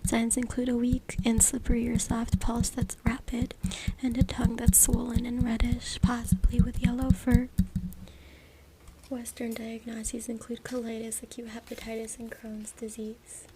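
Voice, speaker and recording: A woman reading aloud in a breathy, unvoiced ASMR whisper with informal, hushed asides. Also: fairly high pitch, monotone, moderate pace. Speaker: ASMR creator. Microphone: close USB microphone. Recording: noisy USB mic with clicks, tapping. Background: tapping, scratching, clicks.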